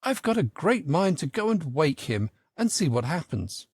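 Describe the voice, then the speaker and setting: Guy reading as a little storybook girl, puzzled n a bit whiny, lively intonation. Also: fairly high pitch, moderate pace, breathy edge, no room sound. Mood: musing, complaining. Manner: wondering, mildly complaining.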